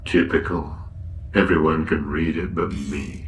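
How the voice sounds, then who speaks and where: A man's voice: steady tone, a little slow, background noise. A man playing an ancient, worn-out robot in a radio comedy drama, weary, doleful and sardonic, full of gloom and self-pity. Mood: resigned. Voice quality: low, weary drone.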